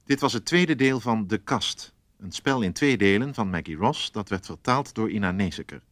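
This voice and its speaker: Low-voiced male radio announcer, dry sound. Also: lively intonation, moderate pace, fairly bright tone, dry recording. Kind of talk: scripted closing credits announcement.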